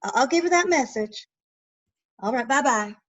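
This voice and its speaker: A woman's voice: high-pitched, expressive, normal speed, a bit bright, highs cut off. A woman voicing a lonely, timid elder sister in a stage play reading, talking hesitantly and tearfully with stammers. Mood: anxious.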